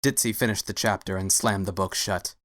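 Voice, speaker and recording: A man's voice: low voice, steady tone, a little fast, fairly bright tone, dry recording, studio quality. A man narrating a scripted story as a dramatic reading.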